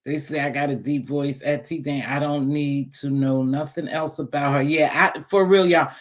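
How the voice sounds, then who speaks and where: A middle-aged woman with a deep voice hosting a casual live stream, talking bluntly and sassily to her viewers. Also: fairly even intonation, moderate pace. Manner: blunt, sassy, talks straight to viewers. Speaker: live stream host reading chat.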